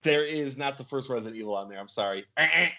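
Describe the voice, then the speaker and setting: A man's voice: mid-range voice, quick pace, pushed and bright. Male podcast game host, casual delivery with playful mock-announcer flourishes, mid-range voice.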